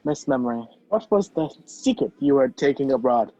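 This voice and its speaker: A man playing a determined, wrongly accused gentleman on the run in an amateur radio play, over a video call. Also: medium pitch, animated, normal speed, close to an everyday voice. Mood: gentle, grave. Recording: dull, lo-fi video-call audio.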